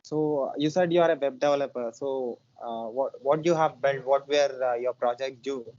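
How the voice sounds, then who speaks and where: A man from India hosting an interview over a video call, asking questions in a halting way full of fillers. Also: mid-range voice, a little mellow, no room sound. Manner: hesitant, halting, lots of uh fillers. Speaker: podcast host. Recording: dull lo-fi call audio.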